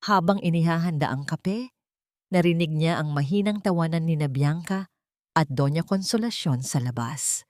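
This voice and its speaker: Low-pitched woman narrating a drama, dry sound. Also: normal speed, darkish tone, no room sound. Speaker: storyteller, narrator. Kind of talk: scripted dramatic story narration.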